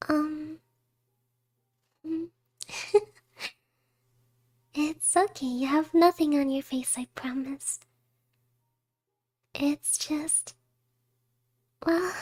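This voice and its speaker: A very high, sweet-pitched young wife, acted by a woman in a romantic ASMR roleplay. She is bashful and adoring, talking slowly in soft, hesitant phrases that often slip into whispers. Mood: shy, bashful. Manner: hesitant, tender, soft-spoken with breathy whispers.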